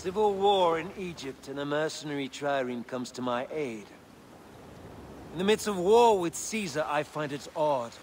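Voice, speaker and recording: An actor playing a haughty, suspicious Roman general in a video game, imperious and skeptical in manner. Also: male voice, fairly high pitch, animated, moderate pace, a little mellow, slight background noise, close to an everyday voice. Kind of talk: dramatic video game acting.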